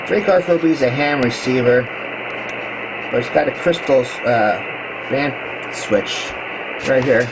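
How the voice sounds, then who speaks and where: A man giving a casual, hands-on video walkthrough as a hobbyist, explaining technical gear in a matter-of-fact, halting way into a handheld camera. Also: mid-range voice. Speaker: ham radio hobbyist showing his equipment. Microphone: handheld camera microphone. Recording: clearly noisy handheld camera recording.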